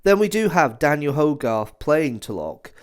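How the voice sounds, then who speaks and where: A man's voice: a little high, big pitch swings, unhurried. Conversational man giving a review. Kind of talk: video review commentary.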